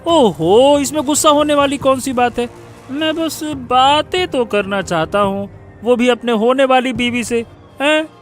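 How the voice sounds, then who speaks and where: A man plays a leering village thug from a cartoon story, pressing his unwanted courtship. He talks slowly, with smug, taunting swagger. Music sits behind the voice. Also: very high-pitched. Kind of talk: dramatized Hindi cartoon story.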